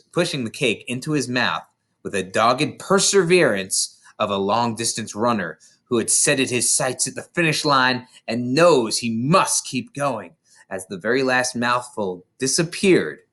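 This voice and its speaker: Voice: mid-range voice, fairly bright tone. A reader narrating a children's novel aloud expressively, occasionally stumbling over words. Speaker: storybook reader.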